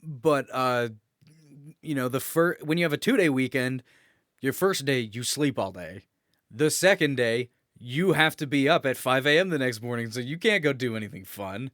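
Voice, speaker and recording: A man's voice: mid-range voice, animated, moderate pace, a bit bright, no room sound, clean studio sound. A man talking casually as a podcast co-host, informal and mildly profane as he swaps stories. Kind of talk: casual chat, swapping work stories.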